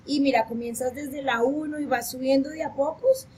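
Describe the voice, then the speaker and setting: A woman's voice: high voice, steady tone, fast talker, warm tone, a little hiss or hum. A woman in her thirties from Colombia, an English teacher giving an encouraging online lesson over a video call. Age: 30s. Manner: friendly, encouraging, switches between Spanish and English.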